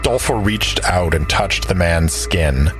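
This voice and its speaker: Man, low voice, storytelling narration, scripted audio drama, music bed. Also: animated, takes it slowly. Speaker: narrator and player.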